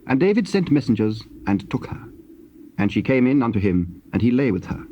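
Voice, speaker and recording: Male scripture narrator, British, solemn, measured, mid-pitched, dull cassette sound with faint hiss. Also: sing-song. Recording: dull, hissy old cassette tape.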